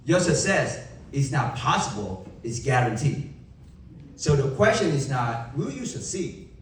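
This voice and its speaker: A young man with a Vietnamese accent giving an emphatic motivational keynote to a live audience, with dramatic pauses and rhetorical questions. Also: mid-range voice, slight background noise. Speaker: motivational speaker. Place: large event hall. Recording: echoey hall from far off.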